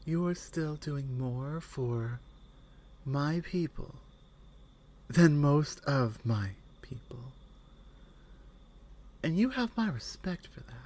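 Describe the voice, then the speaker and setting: A woman playing a strong, caring Nordic warrior companion in an intimate ASMR roleplay, speaking in halting phrases that often drop toward a whisper. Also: low-pitched, lively intonation, dark tone, heavily acted. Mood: respectful, teasing. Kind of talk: ASMR roleplay, intimate one-sided dialogue.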